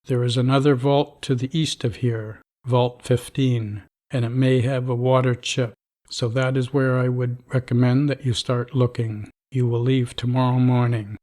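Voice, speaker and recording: A man voicing a middle-aged vault overseer in an audio drama, dry and dismissive, clinging to the rules. His voice sits at an ordinary pitch, and he hands down orders in a flat, bureaucratic manner. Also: moderate pace, close to an everyday voice. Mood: stern. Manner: dry, rule-bound, dismissive.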